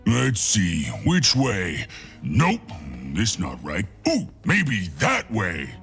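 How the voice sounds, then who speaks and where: An actor voicing a hulking, dim-witted mad brute who thinks he is a doctor, with a gravelly voice and broken caveman grammar, for a video game. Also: fairly low pitch, wildly swooping pitch, drawn-out words, a bit bright, soft background music, full character voice. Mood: muddled, confident. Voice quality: gravelly, husky. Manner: broken caveman grammar, speaks of himself in third person. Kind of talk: video game character voice lines.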